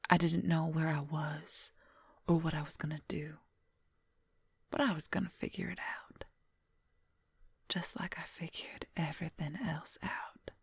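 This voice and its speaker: A woman's voice: sits low, fairly even intonation, unhurried, pauses often, no echo, exaggerated voice. A woman playing a possessive, wry female werewolf lover in an intimate, confiding whispered ASMR roleplay, close to the microphone. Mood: reflective. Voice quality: breathy, husky whisper. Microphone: close microphone, dry.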